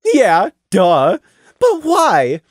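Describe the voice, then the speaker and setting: A woman voicing a bubbly, cheerful female pony officer who talks loudly and pushily. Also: medium pitch, huge pitch swings, drawn-out words, dry recording, clean studio sound, heavily acted. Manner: chirpy, pushy, loud.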